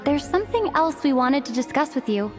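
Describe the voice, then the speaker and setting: A young female traveler from a video game, gentle and composed. The voice is high and sounds earnest and kind. Music plays quietly underneath. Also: sing-song, fairly quick.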